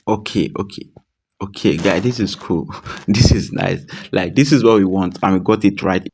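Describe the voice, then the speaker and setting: A man's voice: low voice, normal speed, darkish tone, slight background noise. A man presenting a casual tutorial, speaking directly to viewers in a reassuring, repetitive way. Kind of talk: casual screen-recorded tutorial.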